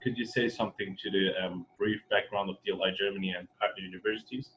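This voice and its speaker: A man's voice: fairly low pitch, fairly even intonation, quick pace, fairly bright tone, slight background noise, rough recording. Hesitant man interviewing with many ums. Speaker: interviewer, former student. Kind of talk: reading an intro then asking questions.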